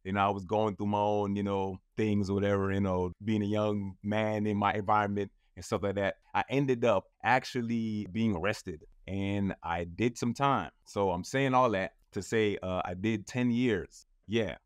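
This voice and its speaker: A man's voice: lowish voice, steady tone, moderate pace, hardly any pauses, smooth, no room sound. A man in his thirties, a guest in a casual interview, telling his story in a loose, rambling way full of fillers. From United States. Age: 30s.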